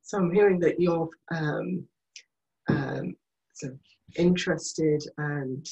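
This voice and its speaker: A woman in a group discussion, reflecting back what others say, halting with fillers. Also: low-pitched, warm tone, muffled recording. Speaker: listener reflecting back in an empathy circle.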